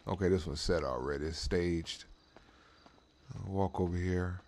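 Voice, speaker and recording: A man's voice: deep voice, measured pace. A man giving laid-back, slangy running commentary on a game, talking mostly to himself. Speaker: streamer playing a drag racing game. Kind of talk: casual running gameplay commentary. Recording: loud car engine noise. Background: game car and engine sounds.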